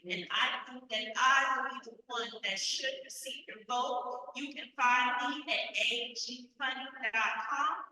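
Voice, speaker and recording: A woman's voice: sits high, fairly even intonation, measured pace, few pauses, bright, forceful tone. A woman running for county commission gives a campaign speech, stressing her points with repeated phrases in lists. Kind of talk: campaign speech at a candidate forum. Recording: echoey hall, distant mic.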